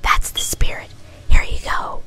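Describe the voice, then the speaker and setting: A woman's voice: a little slow, bright, forceful tone. A woman voicing a gleeful, overexcited young female cartoon pony in an ASMR-style story reading. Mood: cheerful, coaxing. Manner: bouncy, gushing.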